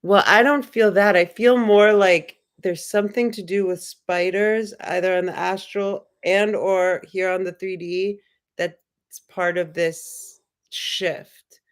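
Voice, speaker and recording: Young woman rambling on her livestream, smooth easy voice, lots of likes and ums. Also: medium pitch, fairly even intonation, slow pace. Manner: rambling, musing, spiritual talk with filler words. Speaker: livestream host. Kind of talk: casual livestream chat, reading viewer comments.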